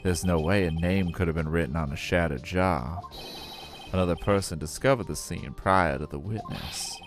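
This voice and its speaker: A man voicing a composed, probing male defense attorney in a formal, measured way, reading video game dialogue. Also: low-pitched, faint music behind.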